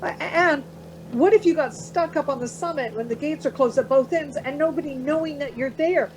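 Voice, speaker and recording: A woman's voice: high-pitched, normal speed, noisy background, close to an everyday voice. A woman acting in a radio play as an anxious, questioning traveller, fretful and hesitant. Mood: worried.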